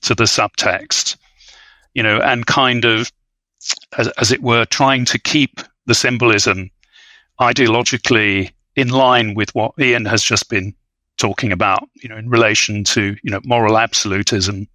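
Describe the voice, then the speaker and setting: A man speaking as an expert guest in an academic discussion, hesitant and scholarly, often pausing to rephrase. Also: fairly low pitch, steady tone, pushed and bright, no echo, rough recording. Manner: halting, hedging, scholarly, frequent "you know". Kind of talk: academic discussion on a film podcast.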